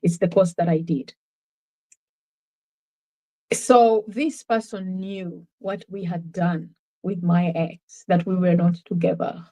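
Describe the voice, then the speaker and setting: A woman's voice: on the low side, steady tone, slow, long pauses, mellow, poor audio. Earnest Ugandan woman telling her story. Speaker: interview guest. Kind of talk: personal testimony in conversation.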